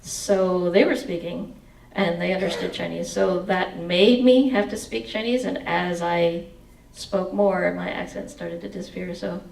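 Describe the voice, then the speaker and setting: A woman's voice: on the low side, measured pace, gravelly, few pauses, echoey room, far from the mic, a little hiss or hum, rough recording. A woman in her forties reading aloud from her memoir with a headset mic, then answering audience questions. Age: 40s. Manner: expressive reading, sings snatches of song. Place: indoor meeting room.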